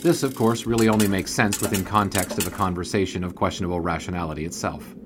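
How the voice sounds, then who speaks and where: An actor playing a wry, bemused 1920s newspaper sports writer, narrating in measured, formal and wordy prose for an audio drama. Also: male voice, low voice, fairly even intonation, noisy background, lightly acted. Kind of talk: scripted first-person narration in audio drama.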